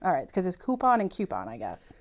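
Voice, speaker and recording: A woman's voice: on the low side, wildly swooping pitch, brisk. A woman with a mild Boston accent, a guest on a podcast, answering casually, agreeing readily and laughing often. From Massachusetts. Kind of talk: casual interview chat.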